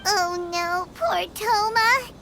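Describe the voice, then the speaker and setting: A woman voicing a tiny, excitable, childlike female fairy companion in an anime game, speaking in exclamations. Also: extremely high pitch, slow, background noise, exaggerated voice. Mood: pitying. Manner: refers to self in third person, exclaiming. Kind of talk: anime game dialogue acting.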